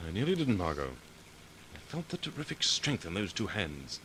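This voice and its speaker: Confident detective hero, vintage radio drama, low register, bright projection, earnest. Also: male voice, big pitch swings, moderate pace, exaggerated voice. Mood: grave, relieved. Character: brave, confident male amateur sleuth. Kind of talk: 1940s radio acting. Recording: faintly noisy vintage 1940s radio recording.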